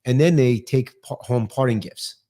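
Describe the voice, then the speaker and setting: A man in his fifties with a New York accent, talking fast as a guest on a podcast. His voice sits at an ordinary middle pitch, and he is energetic and articulate. His talk is casual and full of drive. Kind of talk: casual interview chat.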